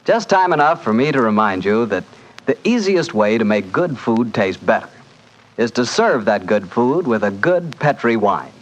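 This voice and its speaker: A man's voice: mid-range voice, big pitch swings, moderate pace. A man with an American accent announcing and reading sponsor copy in 1940s radio style. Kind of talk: 1940s radio advertising read. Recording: dull, lo-fi 1940s radio broadcast.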